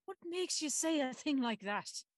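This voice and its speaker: A woman's voice: high-pitched, expressive, normal speed, no room sound, studio quality. A woman playing a stern, pious aunt in service, soothing her mistress firmly in a gothic audio drama. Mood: firm reassurance.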